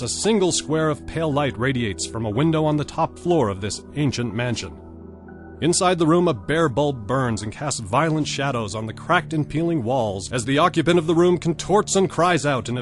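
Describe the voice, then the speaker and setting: A man's voice: mid-range voice, animated, normal speed, close to an everyday voice. A man as the announcer, reading scripted dramatic narration in an atmospheric way. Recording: music playing behind.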